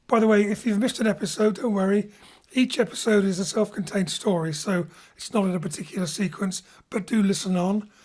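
A woman's voice: medium pitch, darkish tone. A woman director hosting an informal post-show talk about an audio drama series. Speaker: director and host. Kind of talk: hosted post-show chat.

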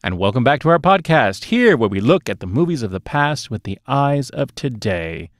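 A man's voice: mid-range voice, very animated, normal speed, no echo. A middle-aged man co-hosting a podcast, chatting casually about movies in an emphatic way, close to the mic. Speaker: podcast co-host. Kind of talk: casual movie review chat. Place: small dark sound-treated booth. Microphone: close podcast mic on boom arm.

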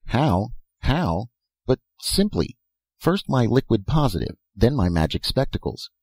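A man's voice: mid-range voice, very animated, unhurried, a little mellow, slightly rough, no echo, pitched above the performer's own voice, heavily acted. A man voicing an eccentric, philosophizing old professor who talks in short, insistent phrases and rhetorical questions in a storybook reading. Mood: eager. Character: elfin bearded old male professor, eccentric, philosophical inventor.

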